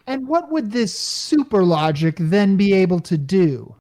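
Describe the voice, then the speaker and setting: Stammering, harried husband, acted out. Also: male voice, high-pitched, a little slow, noisy background, heavily acted. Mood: demanding. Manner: stammering, excitable, slangy. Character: frantic, harried everyman repairman and husband. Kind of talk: 1950s radio comedy acting.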